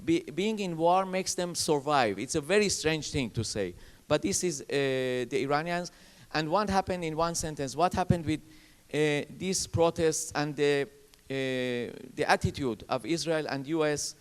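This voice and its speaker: A man speaking on a conference panel in English, emphatic and repeating himself, with halting phrasing. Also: a little high, smooth. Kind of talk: panel discussion. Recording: reverberant conference hall.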